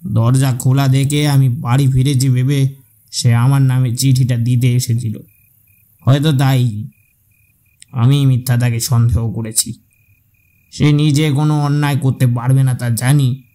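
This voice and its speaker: An actor playing a man musing suspiciously over his diary in a radio play, in deliberate, drawn-out phrases. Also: medium pitch, level tone, dark tone, natural voice. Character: man's diary voice, slow, brooding and suspicious.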